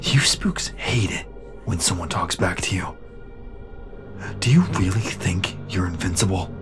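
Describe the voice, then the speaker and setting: A man playing an embittered, defiant sergeant in a sci-fi audio drama, with a gruff, sardonic way of talking. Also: low-pitched, a little slow, music in the background. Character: embittered male special-forces soldier, a defiant sergeant.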